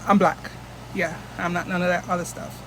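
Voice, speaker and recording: A woman's voice: on the low side, level tone, moderate pace, fairly bright tone, slight background noise, low-quality recording. A woman from the United States chatting casually into her phone camera as a vlogger, rambling and restarting often.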